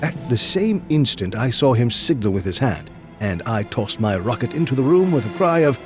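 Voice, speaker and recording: Earnest gentleman sidekick and narrator, played by a man: mid-pitched male, measured storytelling, clear music underneath. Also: sing-song, close to an everyday voice. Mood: measured narration. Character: loyal gentleman companion narrating, earnest and curious. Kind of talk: scripted audio drama narration and dialogue.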